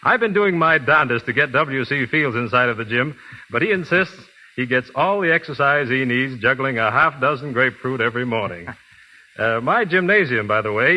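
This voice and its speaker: A man's voice: mid-range voice, highs cut off. A man speaking earnestly and politely as a trainer guest in a scripted 1930s radio interview. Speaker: guest physical trainer and product endorser. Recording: vintage 1930s radio broadcast.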